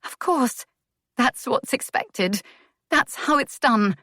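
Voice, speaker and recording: A woman's voice: mid-range voice, expressive, fairly quick, fairly bright tone, no echo, studio quality, lightly acted. Woman voicing a proud, wounded young society lady. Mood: hurt.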